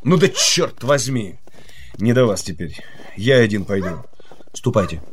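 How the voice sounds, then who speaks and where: A man's voice: lowish voice, lively intonation, measured pace, very noisy. An actor playing an impatient, rude young man in a comic audio drama, brusque and blustering, repeating himself. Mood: irritated, dismissive. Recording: music under the voice.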